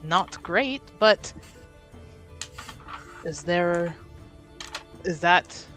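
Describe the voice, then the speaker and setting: A woman's voice: fairly low pitch, animated, drawn-out words, a bit bright, faint music behind. A woman chatting casually and playfully as a player in an improvised tabletop roleplaying game.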